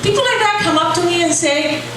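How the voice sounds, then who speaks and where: A woman's voice: very high-pitched, fairly quick. A middle-aged woman originally from Iraq telling a personal story with a wry, playful delivery, acting out the quoted voices. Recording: echoey room, recorded from a distance, noisy.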